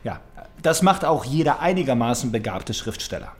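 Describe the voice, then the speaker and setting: An actor playing an ironic, needling police detective in a radio crime drama. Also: male voice, medium pitch, very animated, normal speed, lightly acted. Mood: sardonic. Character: sardonic male police detective, theorising and needling suspects.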